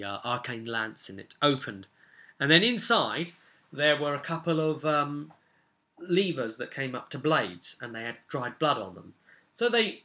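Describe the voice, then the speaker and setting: A man talks off the cuff like a game master recapping a session. His voice is very bright and forceful at an ordinary pitch, and he rambles haltingly before punching out phrases with emphasis. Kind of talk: casual unscripted vlog recap.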